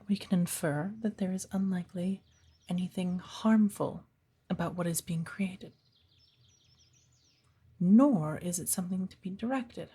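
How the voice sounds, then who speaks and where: A woman playing a stern, patient witch who teaches an apprentice in a soft, breathy ASMR roleplay, speaking in a measured, formal, commanding way. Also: lowish voice. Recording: birdsong behind the voice. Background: birdsong, woodland ambience.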